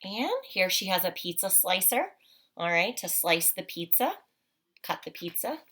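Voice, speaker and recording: A woman's voice: fairly low pitch, animated, measured pace, sharp tone. A woman teacher leading a read-aloud picture walk for children, explaining simply and asking frequent, encouraging questions.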